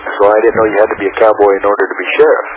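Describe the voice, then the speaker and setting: A man in his forties from Arizona, a county sheriff with a hoarse voice from a cold, talking haltingly with many fillers as a guest. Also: fairly low pitch, level tone, muffled recording, noisy background. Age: 40s. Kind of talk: phone-in interview.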